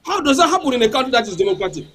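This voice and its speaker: A man's voice: very high voice, sing-song, rapid-fire, fairly bright tone, breathy edge. A man, not a native English speaker, arguing heatedly as a panel guest in a political debate, firing off rhetorical questions and repeating words.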